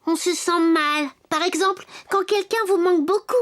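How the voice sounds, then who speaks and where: A woman's voice: very high voice, steady tone, normal speed, slight background noise. A woman voicing a kind, encouraging young boy in a French cartoon dub. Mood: caring. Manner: simple, encouraging, gently questioning. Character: cheerful, caring young boy helping his robot friend.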